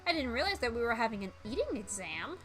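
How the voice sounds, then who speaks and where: A woman's voice: on the high side, big pitch swings, fast talker, fairly bright tone, soft background music. A woman voicing a haughty, two-faced young female culinary student in a visual novel, prim and condescending.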